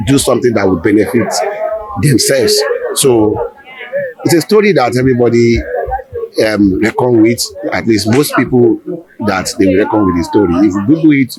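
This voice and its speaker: Male interviewee, Nigerian accent, low pitch, earnest halting delivery, busy crowd background. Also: rough recording. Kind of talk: informal interview explanation.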